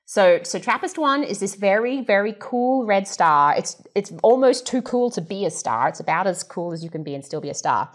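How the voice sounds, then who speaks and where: A woman speaking as a guest scientist, explaining things in an informal, animated conversational way and acting out imagined remarks. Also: mid-range voice, moderate pace, few pauses.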